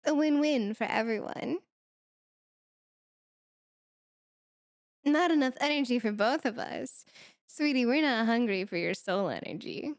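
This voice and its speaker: A woman's voice: fairly high pitch, gravelly, dry recording, studio quality. A woman voicing a teasing, confident succubus demoness in an ASMR roleplay, with a sultry, coaxing manner. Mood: seductive, playful.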